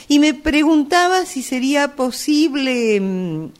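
A woman with an Argentine accent playing an earnest, stubborn, absurd customer in an amateur radio play, speaking haltingly. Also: high-pitched, big pitch swings. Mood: hesitant, earnest. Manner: hesitant, halting delivery.

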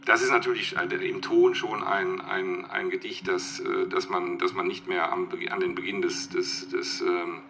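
A man's voice: low-pitched, steady tone, moderate pace, creaky, few pauses, pushed and bright. A man giving a reflective, unscripted explanation as a literary expert, searching for words and often repeating them. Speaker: literary expert being interviewed. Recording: music behind the voice.

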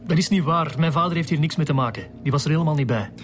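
A man's voice: fairly high pitch, racing, lightly acted. A young man with a Flemish accent acting a defensive, curt suspect in a radio drama. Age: young adult. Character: defensive young male robbery suspect, stubbornly shielding his father. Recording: music behind the voice.

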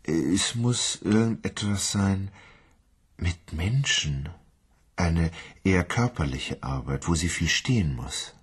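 An actor playing a lonely, timid man who speaks hesitantly and quietly. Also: deep voice, lively intonation, slow, breathy edge, heavily acted. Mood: wistful. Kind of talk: radio drama acting.

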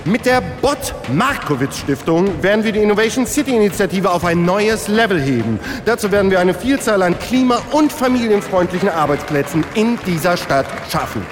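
A man's voice: sits high, expressive, moderate pace, over music. An actor playing a slick male foundation director giving a pompous public speech in a radio play. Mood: promotional. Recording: reverberant hall with crowd.

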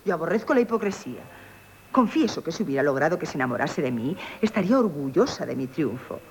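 An actress with a Castilian Spanish accent playing a witty, proud young innkeeper in a theatrical radio comedy, teasing and emphatic. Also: female voice, low voice, very animated, fast, a little mellow. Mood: teasing, triumphant. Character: witty, coquettish, proud young female innkeeper, mock-humble. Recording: hissy 1970s radio broadcast.

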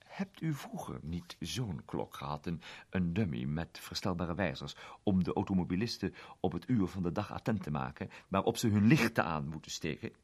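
A man's voice: low-pitched, lively intonation, normal speed, husky. A man voicing a cheerful, methodical male salesman turned amateur detective who likes quoting mottos. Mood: precise. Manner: precise, fond of quoting mottos. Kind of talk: radio play reading.

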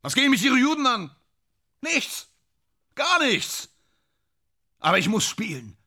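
A man's voice: medium pitch, big pitch swings, measured pace, sharp tone, exaggerated voice. A man in a radio play acting a vain, tormented stage actor, bitter and self-dramatising, with a theatrical, declamatory manner that swings between muttering and outbursts. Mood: agitated rage.

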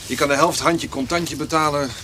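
An actor playing an excitable, plain-spoken farmer who repeats words in bursts in a radio drama. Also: male voice, fairly high pitch, brisk, natural voice. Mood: eager, chatty. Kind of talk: naturalistic radio drama acting. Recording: noisy radio play recording.

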